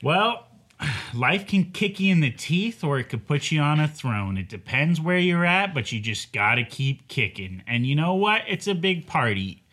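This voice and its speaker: A man's voice: fairly high pitch, very animated, moderate pace, fairly bright tone. A man co-hosting a comedy podcast, reading listener questions and giving brash pep talks. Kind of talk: casual podcast chat.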